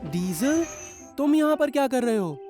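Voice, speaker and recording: An actor voicing a kind, conscience-stricken young male train, gentle and polite, in a children's cartoon dub. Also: sits high, sing-song, normal speed, faint music behind. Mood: pleasantly surprised.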